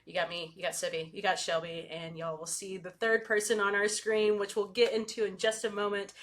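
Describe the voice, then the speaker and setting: A woman hosts a sports podcast in an easygoing, chatty way. Her voice sits at a middle pitch, and her talk is loose, with plenty of filler words. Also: level tone, a little fast. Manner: friendly, says "y'all", many fillers. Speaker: podcast host. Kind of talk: casual sports podcast chat.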